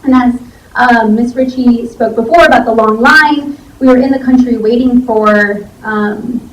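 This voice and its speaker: A young woman with a fairly high voice tells her own story at a church symposium, talking casually and filling pauses with um and you know. She is heard from some distance in a roomy, echoing hall, with a faint hum behind her. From Ecuador, raised in Miami. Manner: conversational, many fillers. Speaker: law student speaker. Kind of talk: personal testimony at a symposium. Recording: echoey church hall from far off.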